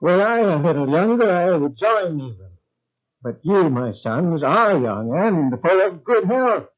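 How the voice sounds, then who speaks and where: An actor playing a hearty, very old patriarch grandfather in a biblical audio drama, with halting delivery. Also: high-pitched, big pitch swings, full character voice. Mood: earnest appeal. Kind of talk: biblical radio drama acting. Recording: dull lo-fi recording.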